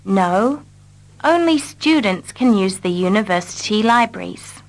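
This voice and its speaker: Slow, careful woman repeating lesson lines. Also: medium pitch, animated. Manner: carefully repeats each line. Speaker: English teacher. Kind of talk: slow scripted reading for learners.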